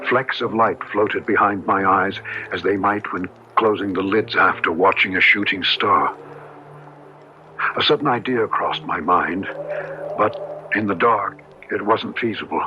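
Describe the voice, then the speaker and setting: A man's voice: on the low side, a little slow, slight background noise, lightly acted. An actor playing an earnest, insistent man haunted by possession in an old-time radio horror play, articulate and theatrical. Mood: brooding narration. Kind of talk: old-time radio drama acting and narration. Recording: muffled vintage radio broadcast recording. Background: dramatic background music.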